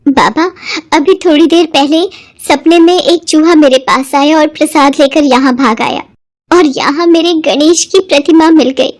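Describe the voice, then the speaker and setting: A woman's voice: high voice, unhurried, voice pushed up. A woman voicing a devout, earnest young girl with a plaintive manner in a cartoon moral story. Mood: excited. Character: devout, tearful young girl pleading with her father.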